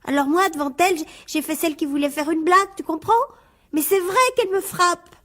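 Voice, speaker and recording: A frightened teenage schoolgirl in a radio drama. Her voice is very high, and she pleads anxiously and insistently, as someone desperate to be believed. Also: a little fast, a bit bright. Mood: anxious, pleading. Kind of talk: French radio drama acting.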